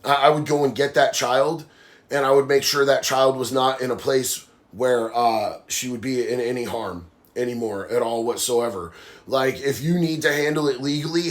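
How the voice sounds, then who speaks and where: A man's voice: medium pitch, a bit bright. A man hosting a live stream, nasal from a sinus infection, talking bluntly and heatedly while reading viewer comments. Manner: blunt, heated, says bro a lot. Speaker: livestream host.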